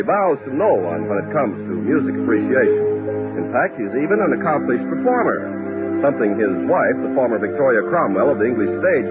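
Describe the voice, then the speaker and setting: A man narrating as announcer for an old-time radio show in a measured, genial, wry scripted style. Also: medium pitch, phone-quality sound, natural voice. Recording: thin old radio broadcast, loud music. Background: loud music.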